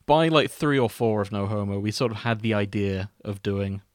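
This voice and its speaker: A man's voice: fairly low pitch, normal speed, dry recording, clean studio sound. A man hosting a podcast in casual comedic chat, joking and swearing freely. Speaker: podcast host.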